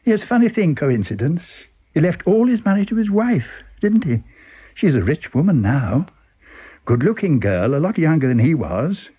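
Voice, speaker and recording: A man acts out a mysterious stranger in an audiobook story, chatty and slyly sinister. The voice swoops animatedly and sits a little high, with a husky edge and insinuating pauses. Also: big pitch swings, phone-quality sound. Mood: breezy, gossipy. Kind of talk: dramatised audiobook reading.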